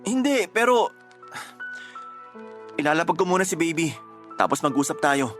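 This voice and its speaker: A man's voice: on the high side, animated, moderate pace, a bit bright, soft background music. An actor playing an earnest, protective young husband and doting father in a Tagalog radio drama, emphatic and emotional. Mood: upset, insistent.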